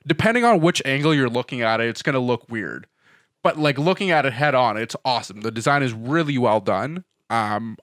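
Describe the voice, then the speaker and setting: Man giving measured opinions on a podcast. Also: mid-range voice, fairly bright tone, no room sound, clean studio sound. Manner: measured, hedging opinions with "you know" and "like". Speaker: podcast co-host. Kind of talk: casual chat.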